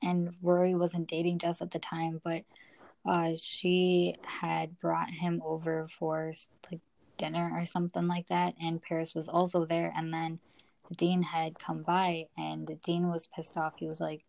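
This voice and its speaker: A young woman with a lowish, fairly flat voice co-hosts a casual discussion podcast. She talks in a loose, conversational way, often feeling for her words. The sound is dry, with no room around it. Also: a little slow, gravelly, no echo, rough recording. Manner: hesitant, conversational, frequent "like" and "you know". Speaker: podcast co-host.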